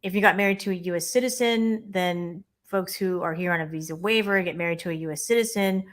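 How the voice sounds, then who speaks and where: A woman co-hosting a live immigration Q&A stream, chatting and giving quick practical tips. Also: fairly low pitch. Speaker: immigration lawyer co-host.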